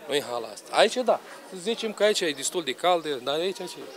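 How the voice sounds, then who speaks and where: A man's voice: fairly high pitch, lively intonation. A man in his forties, a market trader complaining in a street interview into a reporter's handheld microphone. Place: outdoor bazaar lane among stalls.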